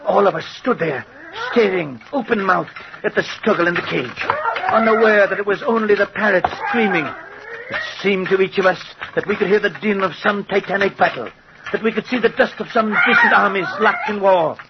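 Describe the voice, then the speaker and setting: An actor playing a cruel, sardonic middle-aged doll-maker in an old radio horror drama, with a theatrical, dramatic delivery. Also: male voice, high-pitched, normal speed. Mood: intense, haunted. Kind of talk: vintage radio horror acting. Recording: muffled, noisy old radio recording.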